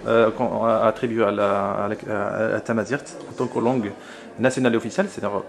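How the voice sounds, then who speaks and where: A man's voice: fairly low pitch, flat delivery, a little fast, creaky. A man interviewing a conference attendee, probing with follow-up questions and pushing back. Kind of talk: spontaneous debate interview. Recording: crowd noise behind.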